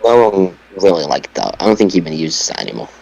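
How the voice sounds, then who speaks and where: A man's voice: low voice, animated, fast, darkish tone, highs cut off, slight background noise. A man on a podcast panel, giving blunt opinions in a casual debate about wrestling.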